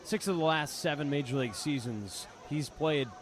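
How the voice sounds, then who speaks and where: A man with a slightly high voice gives color commentary on a baseball game. He talks conversationally, reflecting on players and explaining the play. Clear outdoor ballpark noise sits behind him. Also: normal speed. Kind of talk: live radio sports analysis, conversational. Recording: live ballpark broadcast with clear background noise. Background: outdoor ballpark ambience.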